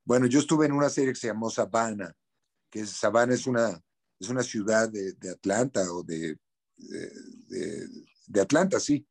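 A man's voice: on the low side, moderate pace, vocal fry. A middle-aged Mexican actor in a casual interview, speaking candidly with slang and repeating words as he searches for what to say.

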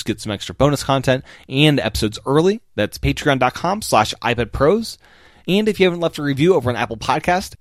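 An American man hosts a podcast in a conversational, loosely worded style. His voice sits at an ordinary male pitch. The sound is dry, with very little room in it. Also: no room sound. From United States. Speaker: podcast host. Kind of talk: podcast intro and interview chat.

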